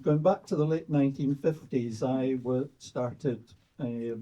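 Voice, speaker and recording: An older man from the audience asking a question in a slow, measured way. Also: medium pitch, mellow, lo-fi sound. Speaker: audience member.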